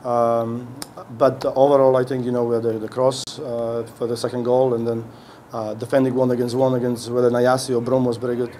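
A middle-aged man speaks as a head coach at a press conference podium, his voice dark and mellow. He talks haltingly, restarting phrases as he hunts for words. Faint background noise sits under the podium mic. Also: mid-range voice, little pitch movement. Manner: halting, many restarts while searching for words. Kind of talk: post-game press conference answers. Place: indoor press room. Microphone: podium mic on a stand.

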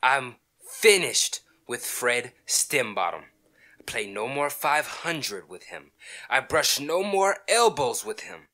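Man acting an indignant, animated husband. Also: medium pitch, slow pace, no echo, heavily acted. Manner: blustering, sarcastic, emphatic. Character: indignant, stubborn middle-aged husband, wounded pride. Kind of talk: old-time radio domestic comedy acting.

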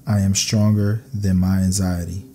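A man narrating scripted sleep meditation affirmations in a calm, soothing, measured way. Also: sits low, slight background noise.

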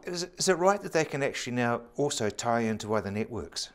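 A man's voice: mid-range voice, very animated, fairly quick, slight background noise. A man, an interviewer asking short, prompting questions.

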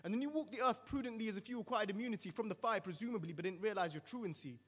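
A man's voice: on the high side, rapid-fire, darkish tone, long reverb tail, lightly acted. A man voicing a stern male angel of punishment who delivers a roaring, solemn condemnation in rhyme. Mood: condemning.

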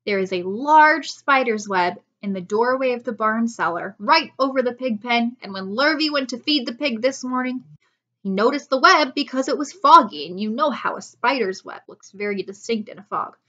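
A woman's voice: on the high side, moderate pace, fairly bright tone, dull audio. A woman voicing a middle-aged farmer husband from a storybook, earnest and awestruck, solemn and insistent in his emphasis.

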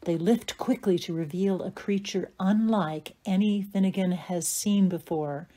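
Female narrator for audio play, softly dark tone, lower register, measured stage-direction read. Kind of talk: scripted narration.

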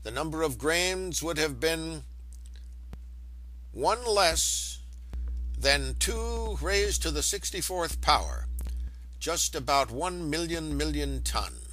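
A man reading a lecture aloud in a deliberate, emphatic way. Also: fairly high pitch, animated, fairly bright tone, poor audio. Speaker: reader of an audiobook lecture. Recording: home recording with faint background hum.

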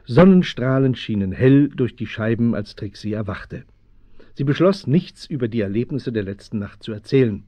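A man as the measured, suspenseful narrator of a German children's radio play, with a husky voice. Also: mid-range voice, expressive, dark tone, dry recording, lightly acted. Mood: storytelling. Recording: muffled 1970s radio play recording.

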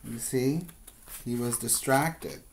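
Middle-aged male teacher, children's read-aloud, very slow and deliberate, with questioning asides. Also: mid-range voice, a little hiss or hum. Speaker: preschool teacher. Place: small plain room indoors.

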